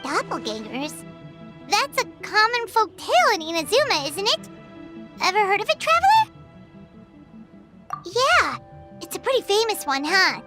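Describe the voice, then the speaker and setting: A tiny fairy companion from an animated game, with a very high, childlike squeak. She is chirpy and curious, swooping up and down as she exclaims, with music clearly playing behind her. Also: unhurried, long pauses, heavily acted. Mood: curious, puzzled. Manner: speaks of herself in third person, exclaiming. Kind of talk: animated video game dialogue.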